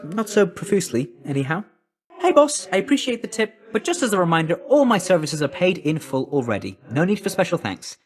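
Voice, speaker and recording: A breezy chummy young god of messages, game character, super animated up and down delivery, chatty salesman patter. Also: high-pitched. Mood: upbeat, salesman-like. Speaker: video game character voice actor.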